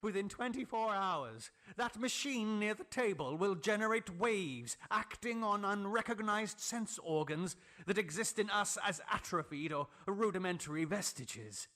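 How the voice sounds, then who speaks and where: A man voicing a fanatical, excitable scientist in a gothic horror story, declaiming pedantically in a high, unnatural voice. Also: measured pace, hardly any pauses, heavily acted. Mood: exultant. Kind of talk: gothic horror audiobook character.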